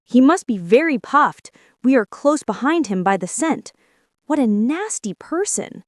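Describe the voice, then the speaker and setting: A woman's voice: sits high, big pitch swings, a little slow, no room sound, studio quality, pitched above the performer's own voice. A woman voicing a thoughtful young male rabbit in a storybook, speaking in a reflective, slightly formal, reasoning way. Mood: disapproving. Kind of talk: storybook character voice.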